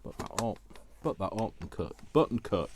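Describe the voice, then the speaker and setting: A man's voice: lowish voice, animated, normal speed, a little mellow, a little hiss or hum. A man giving a casual, chatty craft demonstration, thinking aloud and playfully muttering short repeated phrases to himself as he works. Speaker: craft tutorial host.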